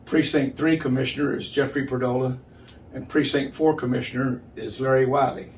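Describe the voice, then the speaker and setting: Older male public official, mid-pitched, plain and halting explainer, faintly noisy recording. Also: fairly even intonation, darkish tone. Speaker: county judge, interview guest. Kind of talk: explanatory interview answers. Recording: distant mic, noticeable background noise.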